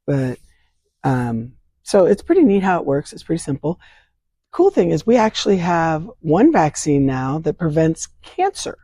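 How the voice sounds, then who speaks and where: An older woman with a hoarse voice talks through health questions in an earnest, plain-spoken way, reaching for simple analogies. Her tone is mellow and soft-edged. The sound is dry and close, with no room around it. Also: sits low, sing-song, measured pace, dry recording. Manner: earnest, explains with vivid analogies. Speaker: state leader of a vaccine advocacy group. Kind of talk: explanatory interview answers. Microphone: remote interview from home.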